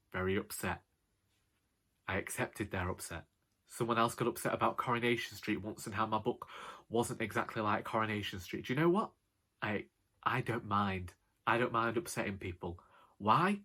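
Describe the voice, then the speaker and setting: A British man with a loud voice rambling casually to camera in a wry, sarcastic way, like a vlogger. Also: lowish voice. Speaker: vlogger and author.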